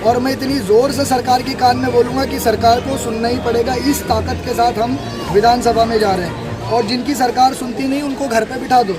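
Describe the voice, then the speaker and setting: A man giving an assertive, emphatic political pitch as a candidate in a campaign interview, often repeating his points. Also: steady tone, fairly quick. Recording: loud music, crowd and street traffic. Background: music, crowd, vehicles.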